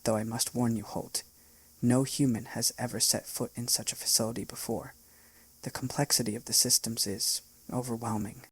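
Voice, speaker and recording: A man voicing a tall crystalline alien ambassador with a husky voice, in a storybook reading. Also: mid-range voice, little pitch movement, moderate pace, fairly bright tone, dry recording, low-quality recording. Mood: earnest.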